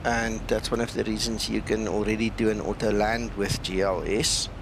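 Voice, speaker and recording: A man's voice: flat delivery, normal speed, gravelly, a crowd in the background, rough recording. A man who is a non-native English speaker chats casually and jokingly as he hosts a flight simulator livestream. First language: not English. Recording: constant loud rumbling engine-like noise. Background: aircraft cockpit noise from flight simulator.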